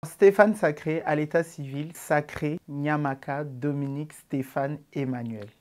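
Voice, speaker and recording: A man in his twenties with an Ivorian accent, answering interview questions about his comedy in a relaxed way, with many fillers. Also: fairly high pitch, a little slow, faint music behind. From Ivory Coast. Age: 20s.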